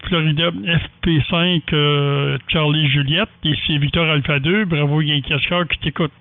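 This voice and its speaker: A man's voice: a little high, steady tone, moderate pace, dry recording. A man with a Quebec French accent, an amateur radio operator chatting casually in a hesitant, rambling way over the radio. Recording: dry, thin phone-like radio sound.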